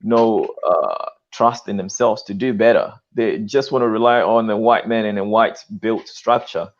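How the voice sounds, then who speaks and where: A man's voice: on the low side, moderate pace, dull audio. A man hosting his own channel, talking in an emphatic, lecturing way, often tagging lines with "okay" and "you know.". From lives in Australia. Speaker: channel host. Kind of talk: live-stream call discussion.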